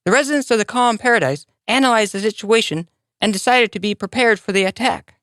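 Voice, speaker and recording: A narrator reading a scripted children's moral story in an expressive, deliberate storybook manner. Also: medium pitch, dry recording, clean studio sound.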